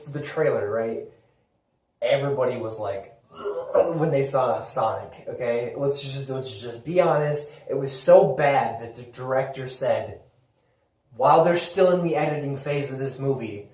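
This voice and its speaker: A man's voice: medium pitch, a little mellow. Casual man in an echoey room. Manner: casual, slangy, profane. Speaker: podcast host. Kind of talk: casual movie and gaming chat. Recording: echoey room, distant mic, hissy noise.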